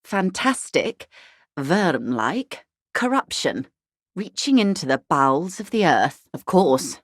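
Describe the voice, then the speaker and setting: A woman playing a shrewd, wealthy older negotiator in a video game, speaking in a polished, wry, measured way. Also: lowish voice, very animated, no room sound, studio quality, heavily acted. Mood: knowing, insinuating. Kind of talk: video game character dialogue.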